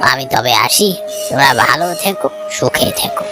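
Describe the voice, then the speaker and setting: A performer voicing an elderly bereaved man in a cartoon story, gentle, generous and fatherly, with a solemn, kindly delivery. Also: medium pitch, expressive, normal speed, over music, natural voice. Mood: kindly, giving.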